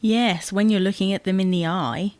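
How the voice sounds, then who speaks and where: A fussy wife played by a woman in a domestic audio comedy. She bickers in an insistent, theatrically indignant way at a medium pitch. The sound is dry, with no room on it. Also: fairly even intonation, normal speed, a little mellow, no room sound, close to an everyday voice. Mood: exasperated. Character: fussy, exasperated wife fretting over wedding clothes. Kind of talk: domestic audio sitcom acting.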